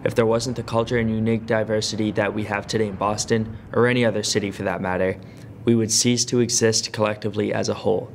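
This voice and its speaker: A man's voice: lowish voice, flat delivery, fast talker, a little hiss or hum. A young man reading an earnest, formal scripted narration for a student documentary. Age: young adult. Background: music.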